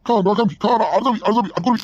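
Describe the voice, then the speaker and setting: A man's voice: high voice, very fast, husky, muffled recording. A man voicing a jealous, quarrelsome old village husband, nagging and blustering in an exaggerated comic Bengali drama style. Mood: furious.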